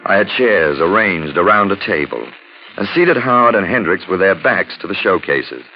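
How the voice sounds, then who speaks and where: An actor playing a suave, erudite gentleman detective in 1940s radio drama, urbane and florid in his phrasing. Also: low-pitched, expressive, moderate pace, soft background music. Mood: urbane, wry. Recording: dull, noisy vintage 1940s radio recording.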